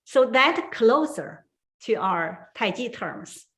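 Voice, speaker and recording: Slow-speaking woman. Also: on the high side, big pitch swings, fairly bright tone. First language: Chinese. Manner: slow, careful, with many fillers and pauses. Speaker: guest tai chi trainer. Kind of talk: online forum talk.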